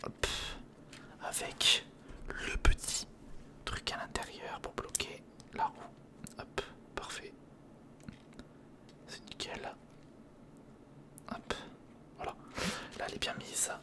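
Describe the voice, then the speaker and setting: A man's voice: slow, pauses often. Whispering man doing ASMR. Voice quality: whispered, breathy. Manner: informal, talks to the viewer as a friend, murmuring step by step. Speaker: ASMR video creator, host. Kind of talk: ASMR, casual running commentary while building a model. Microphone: close recorder microphone.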